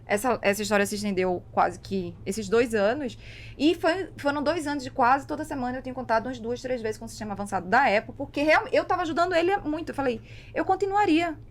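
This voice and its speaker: Woman, Brazilian accent, high-pitched, rapid speech, casual podcast talk, emphatic delivery. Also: expressive. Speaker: podcast host and mentor.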